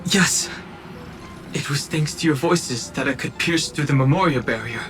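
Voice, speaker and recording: A man's voice: mid-range voice, moderate pace, over music, natural voice. Man playing a serious, reserved young adventurer. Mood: restrained, earnest. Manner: earnest, formal, restrained. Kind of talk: video game character voice acting.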